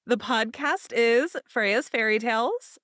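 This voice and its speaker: A woman's voice: fairly high pitch, expressive, slow, a bit bright, no echo, clean studio sound. A woman hosting a podcast, reading a book blurb and then asking her guest questions. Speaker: podcast host. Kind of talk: podcast interview.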